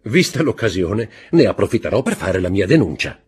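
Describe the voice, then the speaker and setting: A man voicing a commanding nobleman count in an audiobook reading, speaking forcefully and dramatically. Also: lowish voice, sing-song, moderate pace, breathy edge, full character voice. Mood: insinuating.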